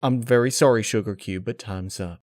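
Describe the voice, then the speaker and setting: A man voicing a solemn, candid pony spirit in a story reading, giving firm, measured, grave warnings. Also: on the low side, a little mellow, no echo, lightly acted.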